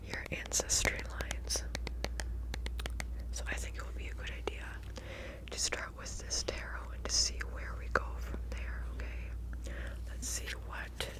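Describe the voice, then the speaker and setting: A woman whispering an ASMR roleplay as a kindly witch who reads tarot, softly and unhurriedly, repeating words and using terms of endearment. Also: low-quality recording. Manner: soft, unhurried, repeats words, affectionate address.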